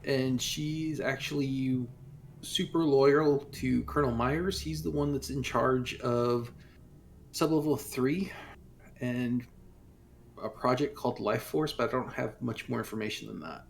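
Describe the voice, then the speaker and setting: A man playing an earnest android crewman in a tabletop roleplay, reporting in a halting, explanatory way. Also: mid-range voice, creaky. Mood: apologetic, reporting. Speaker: player.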